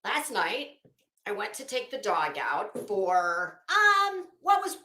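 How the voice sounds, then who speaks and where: A woman chats to her livestream in a bright, forceful voice with a roomy echo around it. She takes her time, rambling, breaking off to search for a word, then quoting herself with dramatic emphasis. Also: medium pitch, wildly swooping pitch, slow. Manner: rambling, self-interrupting, searching for words. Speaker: livestream host. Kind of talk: casual livestream chat. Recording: roomy, echoing room sound.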